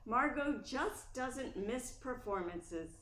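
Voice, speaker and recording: An actress playing a confident, friendly society wife in a radio play, expressive and reassuring. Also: female voice, fairly high pitch, slow pace. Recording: roomy sound with faint music.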